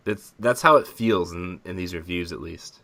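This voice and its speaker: A man's voice: low voice, normal speed, creaky, pushed and bright, no room sound. A man co-hosting a podcast, chatting casually and jokingly about films. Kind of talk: casual joking film chat.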